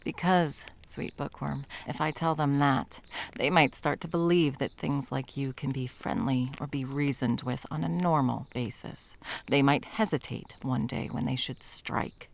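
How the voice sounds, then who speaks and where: A woman playing a stern, condescending yet tender monster hunter in an ASMR roleplay, commanding and dropping often into breathy whispers. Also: extremely low pitch, measured pace, heavily acted. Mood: regretful, firm. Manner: commanding, condescending, with pet names.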